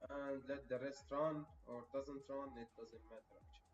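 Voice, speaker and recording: A man's voice: mid-range voice, steady tone, moderate pace. A man from Egypt commenting casually on a live stream, talking to viewers as bro and lecturing them on discipline. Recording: roomy, distant and dull with faint music.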